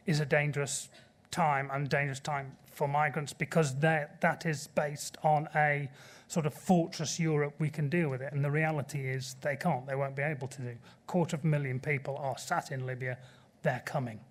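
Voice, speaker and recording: A middle-aged man answering at a conference panel, earnest and measured as he presses his point, speaking into a table microphone in a large auditorium. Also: fairly high pitch, fairly even intonation. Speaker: panelist from a sea rescue group.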